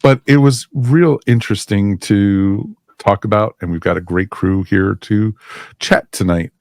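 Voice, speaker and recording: A man's voice: lowish voice, measured pace, a little mellow, no room sound, clean studio sound. A man hosting a podcast in casual chat, joking and filling with "you know". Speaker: podcast host.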